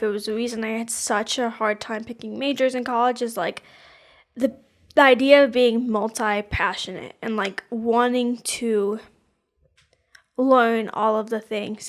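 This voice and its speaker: A woman in her twenties co-hosting a podcast, talking casually and rambling, searching for words with lots of fillers. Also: a little high. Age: 20s. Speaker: podcast co-host. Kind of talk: casual chat.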